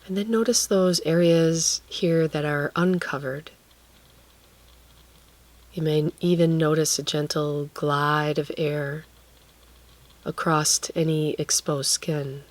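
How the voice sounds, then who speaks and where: A woman guiding a relaxation meditation, speaking gently and leaving long pauses between phrases. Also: deep voice. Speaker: guided meditation teacher.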